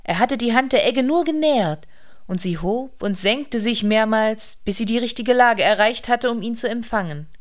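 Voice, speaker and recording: A woman's voice: on the high side, moderate pace. A woman narrating a radio play from a literary script.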